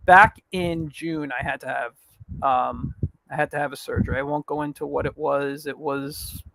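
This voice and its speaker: A man hosting his podcast on a casual livestream announcement, rambling with fillers, his voice raspy at times. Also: fairly high pitch, steady tone, moderate pace, bright, forceful tone, no echo, low-quality recording. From New York. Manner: rambling, frequent fillers and restarts. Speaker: podcast host.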